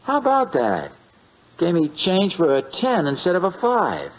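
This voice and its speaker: A man's voice: high-pitched, wildly swooping pitch, unhurried, full character voice. An American man acting a bumbling, easily flustered suburban husband in a 1950s radio comedy, with a hesitant, stammering delivery. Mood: defensive, eager. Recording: muffled, noisy vintage radio recording.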